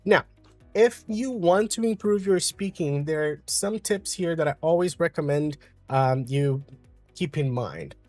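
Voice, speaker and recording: A man from Brazil with a Brazilian Portuguese accent, calmly explaining an English lesson with frequent fillers. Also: a little high, measured pace, dry recording, soft background music. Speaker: English teacher.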